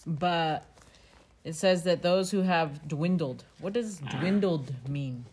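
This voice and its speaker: A woman's voice: low voice, takes it slowly, darkish tone, a little hiss or hum. A woman casually discussing scripture, conversational and checking in with questions as she explains. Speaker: family scripture discussion co-host.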